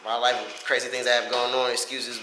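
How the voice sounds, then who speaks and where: A man's voice: mid-range voice, flat delivery, fairly quick, bright, forceful tone. A man giving a casual, rambling personal update to camera in an informal, self-reflective way. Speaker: vlogger. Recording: echoey room with faint hiss.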